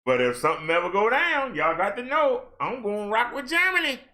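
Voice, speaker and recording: A man vlogging a heated rant in casual colloquial speech, firing off rhetorical questions and at times shouting. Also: high voice, very animated, a little fast. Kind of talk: ranting social commentary.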